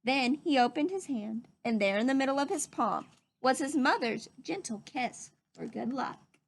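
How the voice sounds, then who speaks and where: A woman reading a storybook aloud for children, expressive, with simple explanatory asides to young listeners. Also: sits high, moderate pace, vocal fry.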